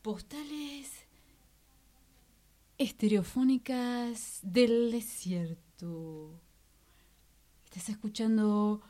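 Playful slow-talking Argentine woman. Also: medium pitch, dark tone. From La Rioja, Argentina. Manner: playful, repetitive chanting, laughing asides. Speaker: community radio presenter. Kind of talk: playful station promos.

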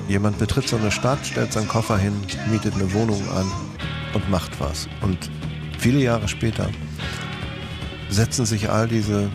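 A man's voice: lowish voice, creaky. A man being interviewed, talking reflectively.

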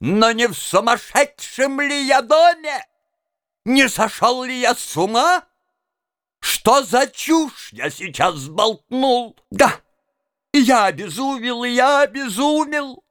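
A man's voice: very high-pitched, animated, takes it slowly, a bit bright, no room sound, studio quality, voice pushed up, exaggerated voice. A man voicing an indignant, pompous elderly vice-principal who blusters in outraged disbelief in a dramatized audiobook. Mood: frenzied. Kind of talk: dramatized audiobook reading of a classic tale.

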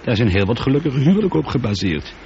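An actor the playing a lonely, prickly space traveller in a radio play, speaking emphatically and with some exasperation. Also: male voice, medium pitch, big pitch swings, fast, vocal fry, a little mellow. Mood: prickly, wry. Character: lonely, prickly male space traveller arguing with his computer. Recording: dull noisy old radio play recording.

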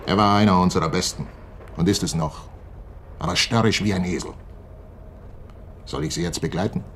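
A man acting in a radio play as a defensive, self-important head of company security who explains himself deliberately. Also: sits low, a bit bright, slight background noise. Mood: grudging.

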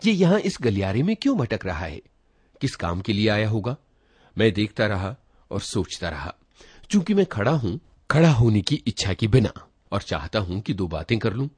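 A man's voice: on the low side, big pitch swings, normal speed, darkish tone, breathy edge, no echo. A man reading a literary short story aloud in a dramatic, reflective first-person manner. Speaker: story reader.

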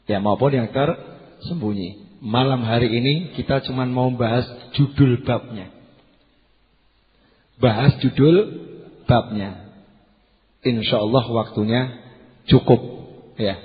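A slightly hoarse man giving a religious lecture to a congregation, conversational, repeating key phrases and putting questions to his listeners. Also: medium pitch. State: slightly hoarse after losing voice. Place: large hall at a religious gathering. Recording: muffled PA with long hall reverb.

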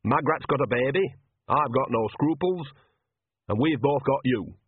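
A man's voice: medium pitch, expressive, normal speed, slightly rough, no room sound, phone-quality sound, pitched above the performer's own voice. A man voicing a bossy, shrewd older woman witch who talks in a blunt, colloquial, cajoling way. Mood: scheming.

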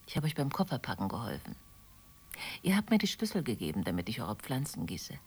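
A husky, wistful woman. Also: very deep voice, very animated, a little fast, heavily acted. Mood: wistful recollection. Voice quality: husky, breathy, often dropping to near whisper. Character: wistful, gently reproachful woman, former lover of a married man. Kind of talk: German radio play dialogue.

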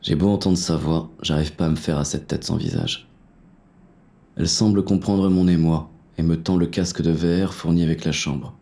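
An actor playing a hard-boiled, wary police inspector who narrates a noir audio drama in a gritty, weary manner. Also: male voice, sits low, fairly even intonation, normal speed, gravelly, warm tone. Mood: puzzled, brooding.

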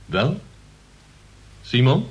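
A man's voice: mid-range voice, sing-song, drawn-out words, breathy edge, lo-fi sound, full character voice. A man playing a pompous, domineering alderman uncle in a Dutch radio play, speaking in formal, condescending phrases. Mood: indignant, pompous. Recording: noisy old radio play recording.

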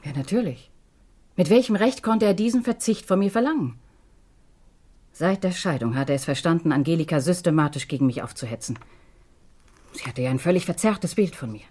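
A woman's voice: lowish voice, animated, fairly quick, pauses often, a little mellow, husky. An actress playing a middle-aged divorced mother in a German radio crime drama, devoted to her children and bitter about her past. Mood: bitter, weary.

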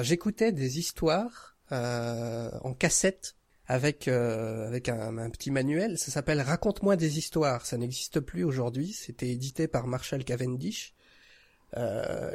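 A man's voice: medium pitch, darkish tone, no room sound. A man in his thirties from France, chatting informally as a podcast guest and telling his story with hesitations. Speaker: podcast guest, audio fiction creator. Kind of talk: casual chat.

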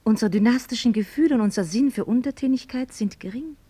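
An actress playing a candid, ironic young upper-class woman in a German radio play, speaking in articulate, formal phrases with gentle mockery. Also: fairly high pitch, fast, slightly rough. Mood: cool, ironic. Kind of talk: mid-century German radio play acting.

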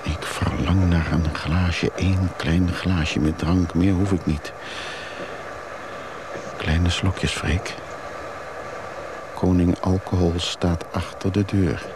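A man's voice: deep voice, fairly even intonation, slow pace, long pauses. An actor playing a remorseful, melancholy traveling father in a confessional radio play monologue. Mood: craving. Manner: reflective, melancholy. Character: brooding father and husband, traveler, craving drink, remorseful. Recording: noisy old radio play recording.

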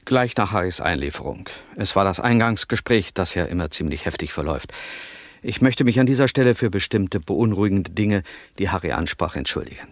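An actor playing a smug, controlling male psychotherapist in a radio drama, measured, clinical and condescending. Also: low-pitched, expressive, dry recording, clean studio sound.

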